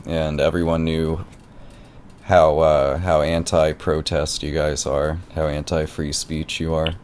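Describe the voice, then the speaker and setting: Deep-voiced, stubborn rambling tenant guy, acted by a man. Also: little pitch movement, unhurried, a little mellow, slight background noise. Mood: defiant. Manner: rambling, argumentative, feigned naivety. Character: stubborn, clueless squatting tenant and protest organizer. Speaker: prank caller. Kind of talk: improvised phone prank conversation.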